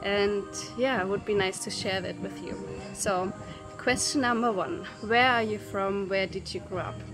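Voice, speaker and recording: Young woman, travel vlogger, unhurried chatty delivery, mid pitch, music bed underneath. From Germany. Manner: thoughtful, unhurried, with frequent pauses and fillers. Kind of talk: casual talk to camera.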